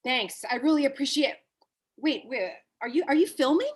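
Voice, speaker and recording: Woman as blunt Hollywood hustler, high voice, profane, brash, comedy table read. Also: animated. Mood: pleading. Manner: blunt, profane, halting when cornered. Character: brash, foul-mouthed, fallen male Hollywood agent Ari.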